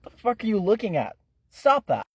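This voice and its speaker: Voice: mid-range voice, takes it slowly, darkish tone, no room sound, pitched above the performer's own voice. A man doing a snapping, furious teen boy. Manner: harsh, snapping, stuttering when upset. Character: angry cornered teenage boy leader.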